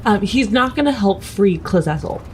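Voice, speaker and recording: A woman speaks in character during a tabletop roleplay, casual and conversational. Her pitch is average for a woman, and there is faint noise in the background. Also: lively intonation, moderate pace, low-quality recording. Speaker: tabletop game player.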